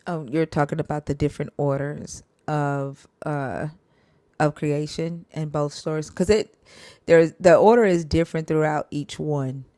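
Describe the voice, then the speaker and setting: Low-voiced woman hosting a live stream. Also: steady tone, warm tone, no echo. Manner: halting, many fillers and restarts.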